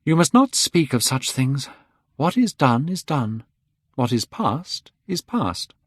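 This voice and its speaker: A man's voice: mid-range voice, sing-song, takes it slowly, breathy edge, dry recording, clean studio sound, pitched above the performer's own voice, exaggerated voice. A man reading aloud, voicing a vain, careless young man who sounds bored and dismissive. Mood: cool, defiant. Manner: bored, dismissive, rising to sharp cries.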